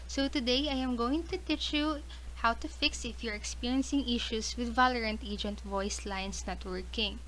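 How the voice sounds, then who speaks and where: A woman's voice: fairly high pitch, fairly even intonation, normal speed, a bit bright, rough recording. Woman narrating a tutorial over background noise. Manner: instructional, reading from prepared steps with occasional stumbles. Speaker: tech tutorial presenter.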